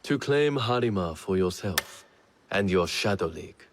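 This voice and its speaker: An actor playing a resolute, measured samurai lord in a video game, speaking formally and gravely. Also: male voice, sits low, expressive, noisy background. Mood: grave, accusing. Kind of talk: dramatic video game acting.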